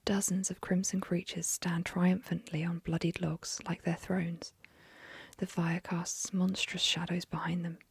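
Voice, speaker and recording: A young woman whispering an ASMR story reading into a close mic, softly, with gentle fillers. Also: on the low side, level tone, measured pace, slightly rough, dry recording. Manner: soft whisper, chatty with fillers after the story.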